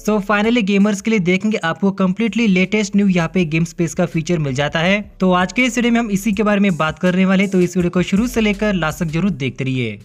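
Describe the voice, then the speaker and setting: A man's voice: high voice, quick pace, few pauses, faint music behind. A man narrating a hands-on phone feature walkthrough in an upbeat way, mixing English words into his Hindi. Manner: upbeat, repeats filler phrases, mixes English words into Hindi. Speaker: tech reviewer. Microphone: off-camera voice-over over hands-on phone footage.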